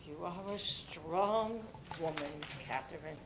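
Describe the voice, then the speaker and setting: A woman playing a kind, motherly neighbour, gentle, expressive and encouraging, in a staged reading of a play. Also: takes it slowly. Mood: reassuring. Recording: live recording with faint rumbling noise.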